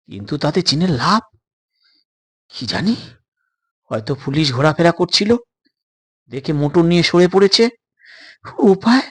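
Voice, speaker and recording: A man acts out a frightened young adventurer in a suspense audio story. The voice is husky and a little high, urgent and conspiratorial, always wary of danger. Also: animated, unhurried, pauses often, fairly bright tone, full character voice. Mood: anxious.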